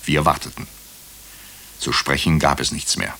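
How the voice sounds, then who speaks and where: Brooding hospital doctor telling a crime story for radio, low voice, short deliberate phrases. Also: male voice, steady tone, bright, forceful tone, full character voice. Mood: grave, measured. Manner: clipped, deliberate phrases with dramatic pauses. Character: brooding male hospital doctor recounting a murder case. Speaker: actor narrating as the lead character. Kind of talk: German radio crime drama narration.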